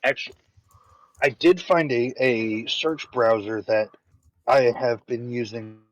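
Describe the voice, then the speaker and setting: A man's voice: medium pitch, takes it slowly. A man chatting casually on a stream, rambling and spelling words out letter by letter. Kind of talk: casual chat. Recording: dull lo-fi mic.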